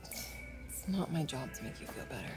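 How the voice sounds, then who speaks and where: A woman's voice: low-pitched, lively intonation, moderate pace, over music. A young woman playing a blunt, independent athlete girlfriend in a video game, with a direct, unsentimental delivery. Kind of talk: naturalistic video game cutscene acting.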